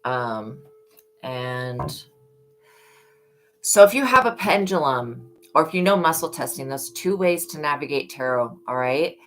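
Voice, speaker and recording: This is a woman with a strikingly deep voice and a hoarse edge. She hosts a live-stream tarot reading, offering earnest, motherly reminders and turning preachy when she speaks of faith. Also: lively intonation, measured pace. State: hoarse from yelling. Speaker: tarot reader.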